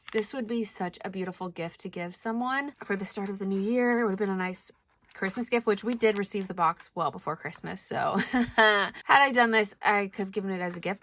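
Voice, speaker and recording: Young woman, slightly hoarse, casual unboxing chat, thinks aloud, frequent fillers. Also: medium pitch, a bit bright, a little hiss or hum. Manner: chatty, thinking aloud with many likes and ums. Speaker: planner vlogger.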